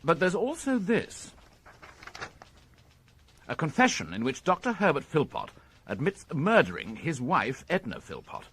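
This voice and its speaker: A British police inspector in a radio crime drama. His voice is slightly husky and moves freely up and down, yet his delivery stays measured and formal. He sets out each point with courteous, methodical precision. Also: fairly high pitch, huge pitch swings, fairly bright tone, heavily acted. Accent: British English.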